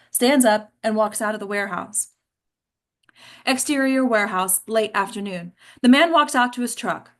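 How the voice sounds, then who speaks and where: Woman narrating screenplay stage directions. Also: a little high. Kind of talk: scripted narration, screenplay reading.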